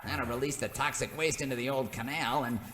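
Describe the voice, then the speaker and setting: A man's voice: medium pitch, fairly even intonation, brisk, natural voice. A man playing a gleeful, sneering villain boss in a melodramatic radio comedy, chuckling and impatient. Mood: impatient scheming. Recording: echoey, with loud background noise.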